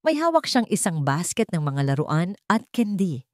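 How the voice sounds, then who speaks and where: Female narrator for dramatic storytelling: lowish pitch, lively intonation, earnest sentiment, dry close sound. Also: normal speed, no room sound.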